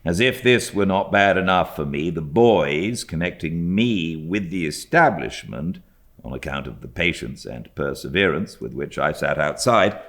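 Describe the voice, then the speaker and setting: A British man reading classic literature aloud as an audiobook narrator, with expressive storytelling delivery. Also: extremely low pitch. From Britain.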